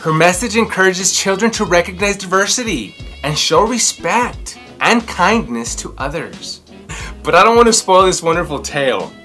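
A man's voice: sits high, animated, normal speed, pushed and bright, soft background music. A man in his thirties hosting a children's story time and book review with a theatrical, exaggerated, emphatic delivery. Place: indoors, small room at home.

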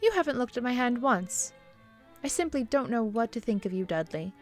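An actress playing a kindly, refined bishop's wife, polite and hesitant, in a radio-style drama. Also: female voice, fairly high pitch, expressive, normal speed, faint music behind, lightly acted. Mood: wistful.

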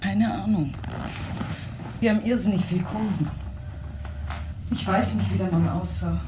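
A woman's voice: medium pitch, unhurried, warm tone, a little hiss or hum, close to an everyday voice. An actress playing an uneasy, guarded young woman in a German radio crime drama, answering tersely. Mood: subdued, fearful. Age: young adult.

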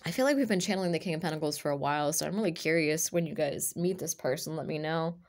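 A woman with a raspy voice and a lingering cough, reading tarot cards to camera in a casual chat and half-whispering card names as she lays them out. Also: low-pitched, fast. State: recovering from a cough. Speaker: tarot reader. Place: indoors at a table with a purple cloth. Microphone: camera over her hands, speaker off-frame.

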